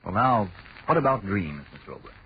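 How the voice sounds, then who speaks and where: Vintage American male announcer, lowish and lively, emphatic sponsor pitch, muffled old broadcast with faint noise. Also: a little fast. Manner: emphatic, salesmanlike. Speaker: radio announcer. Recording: dull, noisy 1940s radio recording.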